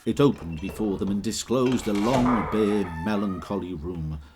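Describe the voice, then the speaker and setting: A man narrating a classic story in a measured, expressive reading. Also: on the low side, heavy background noise.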